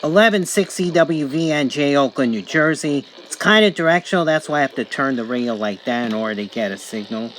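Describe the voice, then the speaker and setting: A man's voice: on the high side, animated, a little fast, hardly any pauses, a bit bright. Matter-of-fact man reviewing a radio, faint noise. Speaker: radio reviewer, channel host. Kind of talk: casual review commentary, naming radio stations. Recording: home recording with faint background noise.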